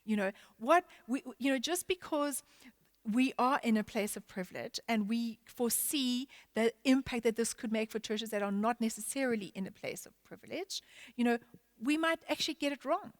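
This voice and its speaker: Halting, high-voiced woman church leader. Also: dry recording. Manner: halting, many restarts and fillers. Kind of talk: panel discussion.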